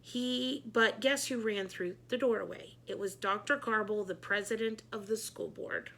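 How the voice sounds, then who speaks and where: A woman reading a children's book aloud as storyteller, in an expressive narrating style. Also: mid-range voice, a little slow, vocal fry, rough recording.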